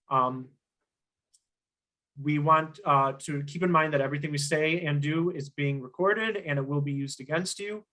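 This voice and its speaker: A slightly hoarse young man leads a training workshop, talking earnestly and stressing key rules. His voice sits a little higher than most men's, and he fills pauses with ums and restarts as he explains. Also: a bit bright, rough recording. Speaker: activist workshop presenter. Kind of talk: instructional presentation.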